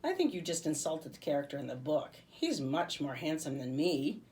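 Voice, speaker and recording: Little green storybook creature, acted by a woman reader: innocent, literal, cheerful, with big swings in pitch. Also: very animated, normal speed, a little mellow. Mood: curious, pleased. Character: Bob, small green creature in chicken suit, cheerful, literal.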